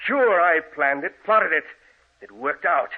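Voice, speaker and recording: A scheming villain of a brother in an old radio thriller. He speaks in a high voice with theatrical, commanding emphasis. The recording is thin and phone-like. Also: lively intonation, measured pace. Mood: gloating madness. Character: scheming, commanding brother who turns gloating, mad murderer. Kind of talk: old-time radio horror acting.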